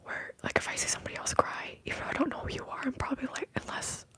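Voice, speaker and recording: A woman hosting an ASMR live stream, whispering softly as she rambles and greets viewers in the chat. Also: racing. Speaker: ASMR livestream host.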